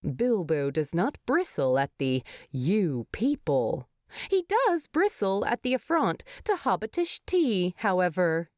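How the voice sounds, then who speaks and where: A woman narrates a storybook tale at a slow, measured pace. Her pitch rises and falls dramatically from phrase to phrase, and the sound is dry and close. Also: sing-song, no room sound. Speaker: fan fiction narrator. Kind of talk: expressive scripted storybook narration.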